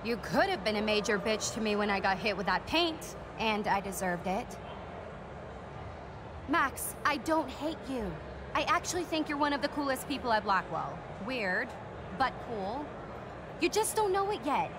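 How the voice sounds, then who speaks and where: High female voice, cool proud teen queen bee, self-assured, guarded, game dialogue, noisy background. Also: big pitch swings, normal speed. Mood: guarded, softening. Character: proud popular teenage rich girl, guarded but softening. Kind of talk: video game cutscene dialogue.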